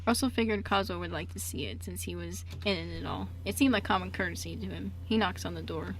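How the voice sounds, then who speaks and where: A woman's voice: fairly low pitch, fast, poor audio. A woman narrating an amateur radio play from a script, stumbling now and then as she reads aloud. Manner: stumbles while reading aloud. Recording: background room noise.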